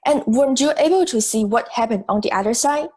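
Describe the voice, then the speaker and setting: A woman's voice: fairly high pitch, animated, brisk, a little mellow. A woman playing a logical, assertive, matter-of-fact juror in an amateur audio drama.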